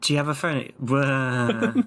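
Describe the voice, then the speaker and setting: A man's voice: a little high, sing-song, unhurried, a bit bright. A man playing a tabletop game, hesitant and apologetic in how he speaks. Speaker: player. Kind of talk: casual tabletop role-play.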